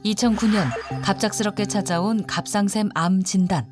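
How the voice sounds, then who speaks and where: A woman narrating a documentary script in measured, dramatic phrases. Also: mid-range voice, fairly even intonation. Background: music.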